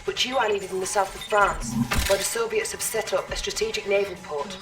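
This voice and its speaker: A woman's voice: mid-range voice, steady tone, a little fast, lightly acted. A woman playing a dutiful intelligence officer who gives earnest briefings in a video game. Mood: businesslike. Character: crisp, dutiful female military intelligence officer. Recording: slightly distant, music behind.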